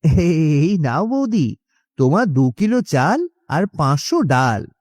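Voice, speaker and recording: A man's voice: on the high side, sing-song, slow, a little mellow, no echo, clean studio sound, exaggerated voice. A man voicing a grinning, fawning village grocer in a cartoon, giggly and ingratiating. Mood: fawning, sly. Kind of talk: cartoon voice acting.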